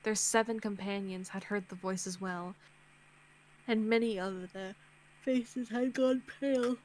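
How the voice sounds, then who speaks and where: A woman reading visual novel narration aloud on a game stream in an expressive way, close to the mic. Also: medium pitch, unhurried, a little hiss or hum, low-quality recording. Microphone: close streaming mic, dry sound.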